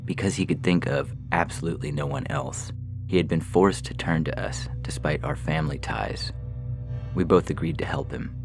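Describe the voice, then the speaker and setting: A man reading a science fiction short story as scripted literary narration, in a measured, formal way, with music behind him. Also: very deep voice, vocal fry. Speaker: story reader. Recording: music bed under the voice.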